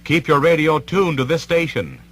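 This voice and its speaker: Bright, formal male civil defense announcer. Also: fairly high pitch, expressive. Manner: formal, deliberate, authoritative. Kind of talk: 1950s-60s emergency radio announcement.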